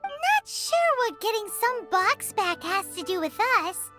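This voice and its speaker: Squeaky little fairy companion. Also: female voice, sing-song, measured pace, heavily acted. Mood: blunt. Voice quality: high squeaky voice. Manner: speaks of herself in third person. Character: tiny floating fairy companion, chatty and blunt. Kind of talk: video game dialogue. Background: loud background music.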